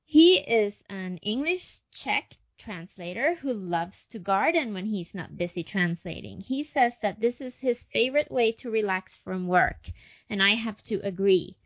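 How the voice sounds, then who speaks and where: A woman's voice: medium pitch, lively intonation, normal speed, lo-fi sound. A woman hosting a podcast, interviewing a guest in a friendly, chatty way. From United States. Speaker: podcast host.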